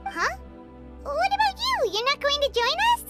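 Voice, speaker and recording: Video game fairy companion: very high, childlike, hugely animated, cheerful chatterbox. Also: female voice, moderate pace, music in the background. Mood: friendly, chatty. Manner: refers to self in third person, bubbly, eager.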